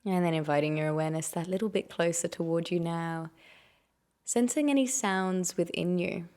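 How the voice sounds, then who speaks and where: A woman leading a guided meditation, giving gentle, unhurried instructions and soft invitations. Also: lowish voice.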